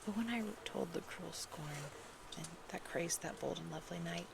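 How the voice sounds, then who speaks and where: An ASMR performer reads love poetry in a soft, intimate, unhurried whisper that is breathy with creaky edges. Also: medium pitch, noisy background. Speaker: ASMR performer reading love poems in a roleplay.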